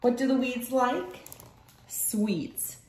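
A woman in her thirties reading a children's book aloud at home in a measured storytelling way. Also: a little high, animated, a little mellow, a little hiss or hum. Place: small room at home, indoors. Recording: echoey room.

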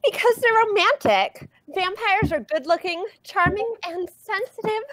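A woman voicing an excitable, swooning female cartoon duck in a comic dub. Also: extremely high pitch, measured pace, no room sound, voice pushed up, exaggerated voice, low-quality recording. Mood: dreamy. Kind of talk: live comic dub acting.

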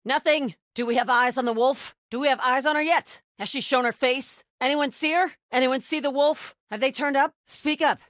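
A woman's voice: high-pitched, fairly even intonation, normal speed, few pauses, dry recording, studio quality. An actress playing a fierce, devout female cult soldier in a video game, calling out short clipped combat lines. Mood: terse, watchful. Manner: short clipped callouts, from hushed to shouted. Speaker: video game enemy character.

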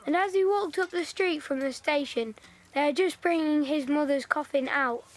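A woman's voice: sits high, moderate pace, lightly acted. A woman playing a chatty wartime village girl. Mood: storytelling. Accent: English. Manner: chatty, plain storytelling with fillers. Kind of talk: radio play dialogue.

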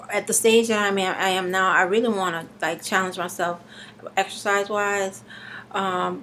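A woman in her thirties, slightly hoarse and congested, talking haltingly in a casual video diary with many fillers and restarts, recorded on a webcam in her living room. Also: mid-range voice, a bit bright, a little hiss or hum. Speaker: vlogger. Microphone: webcam at arm's length.

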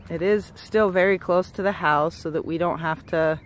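A woman's voice: on the low side, steady tone, moderate pace, slight background noise. Chatty woman giving an outdoor farm tour. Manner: chatty, with frequent restarts and ums. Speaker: goat farmer and channel host. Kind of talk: casual farm tour vlog. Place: outdoors on a dry rural homestead. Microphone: camera held at arm's length, then walking behind it.

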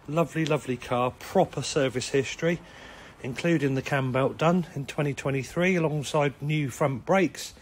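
A man with a croaky, hoarse voice and a British accent, casually talking through a car's features while filming in an indoor showroom. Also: a little high. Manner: relaxed, chatty listing of features. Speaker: car salesman. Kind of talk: walkaround car sales video. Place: indoor car showroom with tiled floor.

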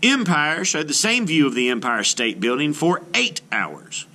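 A man reading a children's book aloud as an art teacher, adding expressive explanatory asides. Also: fairly high pitch, a little slow. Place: indoors, book filmed on a table.

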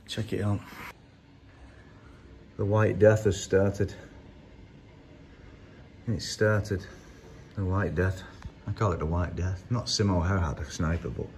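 Blunt, rambling British mechanic, forties, filming himself on a phone, noisy workshop behind. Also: male voice, low voice, darkish tone. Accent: British English. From United Kingdom. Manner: blunt, chatty, rambling with fillers. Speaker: car mechanic vlogger. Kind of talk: casual vlog chat. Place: indoor car workshop. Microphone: phone held at arm's length.

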